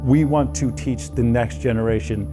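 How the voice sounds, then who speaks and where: A middle-aged American man talks in an interview as a veteran instructor, his voice in a medium register. Clear music plays underneath. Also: mid-range voice, steady tone, moderate pace. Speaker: former air traffic controller, now instructor. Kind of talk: interview remarks.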